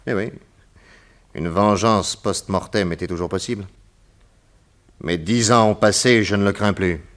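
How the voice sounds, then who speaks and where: An actor playing a calm, shrewd middle-aged detective in a radio drama, speaking in a measured, grave and deliberate way. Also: male voice, fairly low pitch. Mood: explaining. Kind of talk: 1940s radio acting.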